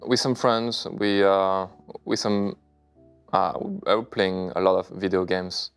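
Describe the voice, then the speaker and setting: Guest, 30s man from China, very low gravelly voice, smooth, hesitant phrasing, soft music under. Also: steady tone. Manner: halting, searching for English words. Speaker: interview guest, poker player. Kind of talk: casual interview answers.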